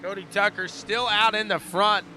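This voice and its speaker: A man calling a live dirt-track race as the track announcer, animated and repeating phrases for emphasis. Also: high-pitched, moderate pace. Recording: loud engine and track noise. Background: race cars and outdoor track noise.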